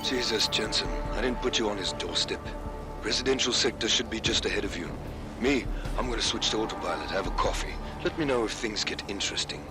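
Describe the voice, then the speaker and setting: A laid-back, sardonic pilot acted by a man from a video game. He tosses off wisecracks casually in a low voice, over loud music. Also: fairly quick, close to an everyday voice. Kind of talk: video game cutscene acting.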